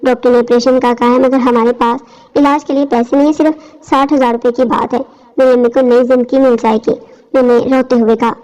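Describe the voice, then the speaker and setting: High-pitched woman narrating a story, muffled audio. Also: level tone, a little fast, a little mellow. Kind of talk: scripted first-person story narration. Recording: dull lo-fi audio.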